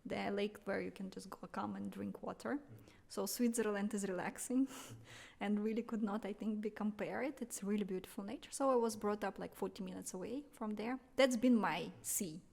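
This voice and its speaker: A woman's voice: mid-range voice, moderate pace, gravelly, a little mellow. A woman in her thirties from Russia, speaking English with a Russian accent as an interview guest, earnest and emphatic with light laughs. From Russia (Siberia). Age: 30s. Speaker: podcast guest, marketing entrepreneur. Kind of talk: conversational interview.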